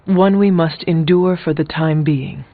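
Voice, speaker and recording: Formal, earnest warrior, thin-sounding. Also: male voice, fairly high pitch, dry recording, narrow, tinny audio. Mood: earnest, steady. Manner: formal, earnest, measured wording. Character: earnest, formal adult warrior hero called Hunter. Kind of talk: video game dialogue.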